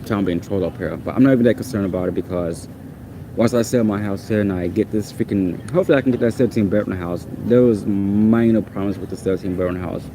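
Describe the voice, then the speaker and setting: A man's voice: fairly low pitch, quick pace, noisy background. A man whose first language is Portuguese, ranting casually and with exasperation in a rambling, repetitive way. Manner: exasperated, rambling, repeats himself.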